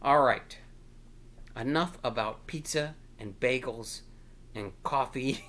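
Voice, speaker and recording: A man hosting a storytime, chatting casually and playfully with young children in an encouraging, halting, rambling way. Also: mid-range voice, fairly bright tone, slight background noise. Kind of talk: casual read-aloud chat to young children.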